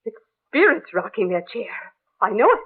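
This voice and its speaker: A woman's voice: on the high side, sing-song, moderate pace, husky, narrow, tinny audio. An actress playing a nervous, superstitious neighbor woman in an old radio horror play, with fretful, dramatic, breathless exclamations. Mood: terrified, frantic.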